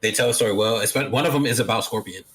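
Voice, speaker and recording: A man co-hosting a casual podcast, blunt and opinionated, quick to laugh. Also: fairly low pitch, a bit bright, slight background noise. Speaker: podcast co-host.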